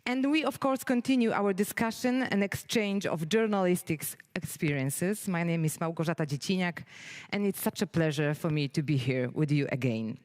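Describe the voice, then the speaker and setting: Low-pitched woman hosting a conference. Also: moderate pace, a little mellow. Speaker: conference host. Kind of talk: formal stage introduction. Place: conference hall stage.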